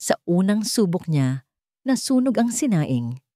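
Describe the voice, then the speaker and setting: A woman's voice: medium pitch, big pitch swings, unhurried, warm tone, no room sound, clean studio sound. A woman reading a scripted dramatic story as a storyteller.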